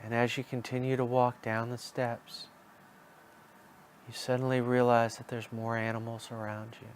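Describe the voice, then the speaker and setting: A man's voice: mid-range voice, completely flat delivery, normal speed, slight background noise. A man telling a guided relaxation story, repeating phrases in a soothing, hypnotic manner. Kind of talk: guided hypnosis imagery narration.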